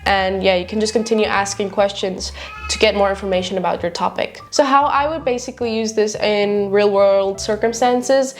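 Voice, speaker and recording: A woman's voice: medium pitch, steady tone, moderate pace, faint music behind. A woman in her twenties, a native Afrikaans speaker, giving a chatty, casual screen tutorial from her home office desk. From South Africa. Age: 20s. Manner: chatty, filler-heavy walkthrough. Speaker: YouTuber.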